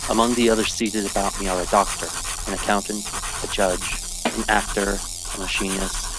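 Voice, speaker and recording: A man playing a sober, ordinary math teacher, narrating gravely and deliberately in a 1950s-style radio drama. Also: fairly low pitch, little pitch movement, over loud music, lightly acted, poor audio. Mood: grave, reflective. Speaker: lead actor.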